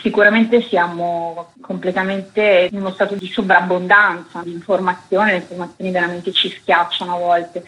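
A woman's voice: fairly low pitch, flat delivery, normal speed, few pauses, bright, forceful tone, rough recording. A woman giving explanatory interview answers as a journalist, speaking in an earnest, articulate way with emphasis on key words.

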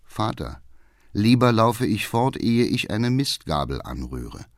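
A man's voice: fairly low pitch, big pitch swings, slow, vocal fry, no echo, clean studio sound, exaggerated voice. A man voicing a sullen, rebellious teenage son in an audiobook, bitter and defiant. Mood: bitter, stubborn.

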